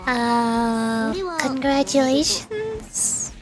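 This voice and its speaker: A woman's voice: high voice, big pitch swings, drawn-out words, over music, heavily acted. A woman voicing a shrewd, sassy young cat-girl mercenary in a video game, teasing and nonchalant. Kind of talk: video game dialogue read aloud in character.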